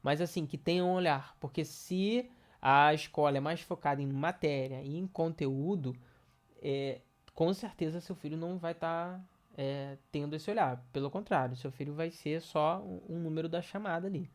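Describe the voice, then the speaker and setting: A young adult man with a Brazilian accent explains things to parents in a loose, conversational way. His voice is stuffy and nasal from a cold. He pauses with fillers and restarts his sentences often. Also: fairly high pitch. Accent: Brazilian Portuguese. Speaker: educator. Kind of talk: casual educational talk to parents.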